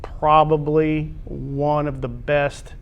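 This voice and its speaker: A man's voice: a little high, flat delivery, slow, gravelly. A man with an American accent hosting a casual, conversational interview. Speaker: interview host, athletic administrator.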